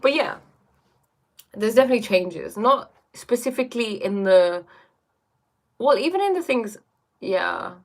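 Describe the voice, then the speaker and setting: A woman's voice: a little high, lively intonation, a little slow, pauses often. A young woman hosting a casual livestream, reading viewer questions aloud and answering them on spirituality. She hesitates often and fills her speech with "like" and "um". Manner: hesitant, lots of fillers and "like", reads comments aloud.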